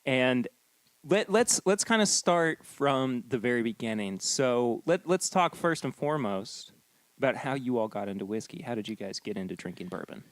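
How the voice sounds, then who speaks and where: Enthusiastic podcast host. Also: male voice, medium pitch, very animated, moderate pace, dry recording. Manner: enthusiastic, chatty, many restarts. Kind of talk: casual podcast interview. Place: whiskey tasting room.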